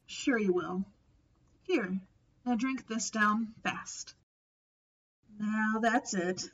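A woman's voice: mid-range voice, drawn-out words, a bit bright, husky, muffled recording, exaggerated voice. A woman playing a caring, motherly telephone operator in an old-time radio drama, coaxing in friendly slang.

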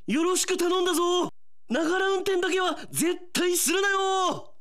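A man playing a brash, lazy young man in a comic radio drama, with loud, blunt, slangy retorts. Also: extremely high pitch, moderate pace, pitched above the performer's own voice. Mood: bossy.

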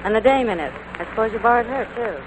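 Actress playing a brassy high-pitched diner waitress. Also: female voice, lively intonation, fast talker, lightly acted. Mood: sassy. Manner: tough, slangy, sassy. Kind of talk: old-time radio drama acting. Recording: noisy vintage radio recording.

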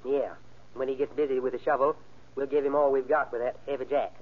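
A man's voice: mid-range voice, little pitch movement, fast, natural voice. A man acting as a cold, cocky gangster ringleader in an old-time radio crime drama, with slangy, bossy tough-guy talk. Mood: cocky, scheming. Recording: tinny, band-limited old radio recording.

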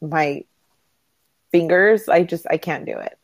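A woman with a hoarse, nasal voice chatting casually as a podcast co-host, quick to laugh. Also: on the low side, dry recording. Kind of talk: casual chat. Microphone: remote call.

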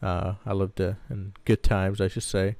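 A man in his twenties with a fairly low, smooth voice, recorded close and dry. He talks casually about himself as a podcast co-host, in a loose way with plenty of hedging. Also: level tone, moderate pace, a little mellow, dry recording. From Baghdad, Iraq. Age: 20s. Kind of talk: casual personal storytelling.

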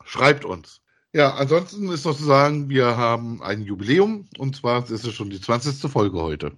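A man co-hosts a review podcast in a relaxed, conversational style. His pitch sits in an ordinary middle range for a man, and he talks informally, as in a chat between co-hosts. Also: normal speed. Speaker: podcast co-host. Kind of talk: casual chat reviewing audio dramas.

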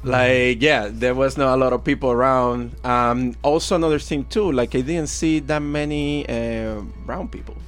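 A Venezuelan-accented man in his thirties tells his story at an easy, thoughtful pace, with many pauses and fillers. His voice sits at a medium pitch, and faint music plays underneath this radio interview. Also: fairly even intonation, smooth. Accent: Venezuelan Spanish. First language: Spanish. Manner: friendly and reflective, with frequent fillers and laughs. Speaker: guest artist. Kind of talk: casual interview.

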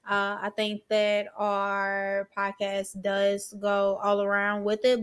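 A woman's voice: mid-range voice, pushed and bright, smooth. A woman in her twenties co-hosting a podcast, chatting in an easygoing, slightly hesitant way. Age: 20s. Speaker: podcast co-host. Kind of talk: casual chat.

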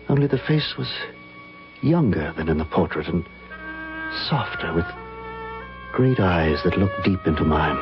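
Headstrong, troubled leading man: lowish voice, sweeping intonation, dramatic vintage radio mystery style, muffled broadcast. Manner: intense, dramatic. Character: troubled, headstrong male guest haunted by a mystery. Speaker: lead actor and narrator. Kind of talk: old-time radio drama acting.

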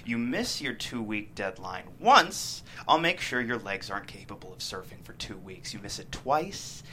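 A man acts out a young drug dealer in a teen drama, menacing and playfully cruel. His voice is bright and forceful with lively swings, taunting in slangy street talk over noticeable room noise. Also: on the low side, normal speed. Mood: cold threat. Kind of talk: dramatic table read of a teen drama script.

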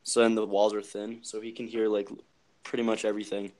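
A man's voice: fairly low pitch, little pitch movement, fairly quick, a little mellow. Rambling young man on a phone call. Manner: rambling, lots of fillers, laughs easily. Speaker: podcast co-host. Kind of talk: casual phone chat. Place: home, at night. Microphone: phone on speaker.

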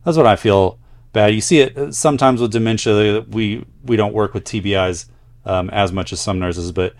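A man co-hosting a podcast as a nurse, chatting casually and reading listener letters aloud with pauses. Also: fairly low pitch, no room sound, studio quality.

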